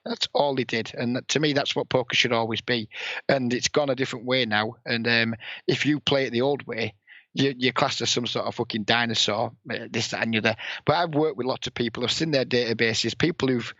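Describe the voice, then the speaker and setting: A man with a northern English accent and a raspy voice, a blunt, heavily swearing guest in a casual podcast interview who sniffs and coughs. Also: medium pitch, pushed and bright, no room sound. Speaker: poker player and coach, guest. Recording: dull lo-fi, dry sound.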